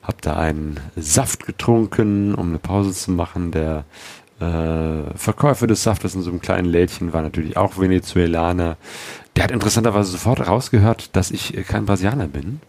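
A man's voice: sits low, normal speed, a little mellow. A man from Germany talking casually about his motorcycle trip, rambling with lots of fillers and restarts. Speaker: motorcycle travel vlogger. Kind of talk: casual travel vlog talk.

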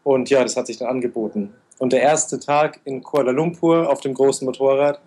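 A man in his twenties, an interview guest recounting his travels in a steady, detailed way. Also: medium pitch, a little fast. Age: 20s. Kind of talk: conversational interview answers.

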